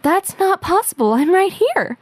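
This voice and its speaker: Woman as prim, innocent little girl for superhero radio play, high-pitched, earnest. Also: sing-song, unhurried, dry recording, exaggerated voice. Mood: confused. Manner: naive, earnest.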